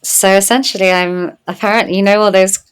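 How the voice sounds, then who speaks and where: A woman speaking as a guest in an interview, reflective and earnest, growing animated when she tells stories. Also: mid-range voice, brisk, clear, resonant tone. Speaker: podcast guest, medicine woman. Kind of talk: conversational interview.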